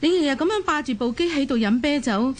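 An actress playing an exasperated but polite bank clerk with a Hong Kong Cantonese accent, officious and insistent, in a radio drama. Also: female voice, sits high, expressive, quick pace.

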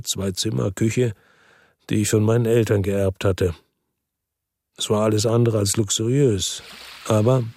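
An actor playing a world-weary former policeman who narrates his story calmly and reflectively in a radio drama. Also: on the low side, husky, dry recording, studio quality. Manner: calm, reflective first-person narration. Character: world-weary ex-cop, middle-aged man, lives by the sea.

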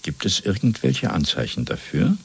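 Very deep, gravelly, menacing interrogator. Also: male voice, sing-song, full character voice. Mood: dismissive, assured. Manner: measured, domineering, rhetorical. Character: cold, menacing male Party interrogator, coolly fanatical. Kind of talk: German radio drama acting.

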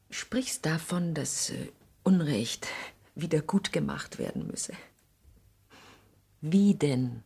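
A wronged, proud mother in 1960s radio drama style. Her voice is deep and breathy, speaking at a slow, deliberate pace. She is bitter and theatrical, shifting between hushed intensity and force. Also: exaggerated voice. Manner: intense, theatrical, hushed passages swelling into outbursts.

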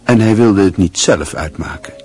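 An actor playing a dry, wry literary narrator in a radio play, with a husky voice. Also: male voice, on the low side, moderate pace, a little mellow, faint music behind, close to an everyday voice. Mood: wry, reflective.

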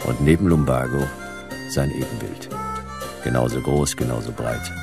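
Very deep, gravelly sardonic detective. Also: male voice, moderate pace, music in the background. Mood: laconic narration. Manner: sardonic, laconic. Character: hard-boiled male detective Jonas, wry, world-weary narrator. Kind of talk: radio crime drama narration and dialogue.

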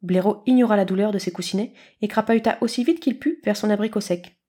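Woman narrator reading an animal storybook, medium pitch, literary style. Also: fast, warm tone, breathy edge.

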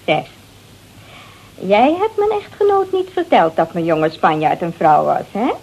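A woman's voice: lowish voice, huge pitch swings, measured pace, vocal fry, a little mellow, breathy edge, dull audio, exaggerated voice. An actress playing a brazen, flirtatious married woman who drinks sherry, teasing and mocking in a radio drama. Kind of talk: Dutch radio drama acting.

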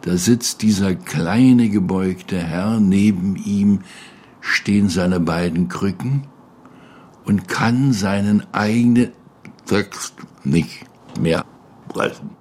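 An older man with a low, husky voice recalls a colleague in an interview. He tells it slowly and dramatically, leaning on words and repeating them for emphasis. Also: a little mellow. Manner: emphatic, dramatic storytelling with repetition. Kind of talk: spontaneous reminiscence.

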